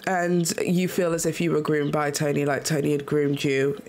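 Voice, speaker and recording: A woman's voice: low-pitched, little pitch movement, normal speed, a little mellow, a little hiss or hum. A woman interviewing a young guest, a little sick and nasal, earnest and supportive with frequent ums. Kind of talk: video interview.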